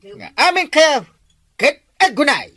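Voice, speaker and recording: A woman's voice: high-pitched, big pitch swings, takes it slowly, fairly bright tone, full character voice. A woman playing a bossy barangay captain. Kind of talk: Ilocano comedy skit acting.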